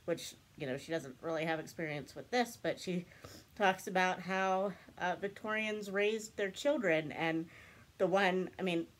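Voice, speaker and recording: A woman's voice: on the low side, unhurried. A young woman chatting casually to camera in a small room, stuffed up with a cold, rambling and laughing often. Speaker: book and craft vlogger. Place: small room indoors, seated before a cabinet.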